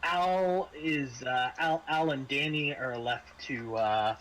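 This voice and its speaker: A man acting as game master, wrapping up a tabletop game in casual, halting talk full of repetitions. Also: mid-range voice, fairly bright tone, slight background noise, poor audio.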